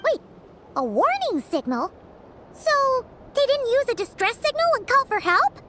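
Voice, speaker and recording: A woman voicing a tiny, excitable, naive fairy companion in a video game, with a squeaky, childlike voice. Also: a little slow, noisy background, exaggerated voice. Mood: puzzled.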